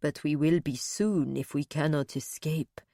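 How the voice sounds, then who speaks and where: A woman narrator voicing a slow-witted, grim male captive who speaks bluntly and laboriously. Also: sits low, warm tone, no room sound, clean studio sound, pitched below the performer's own voice. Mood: pondering.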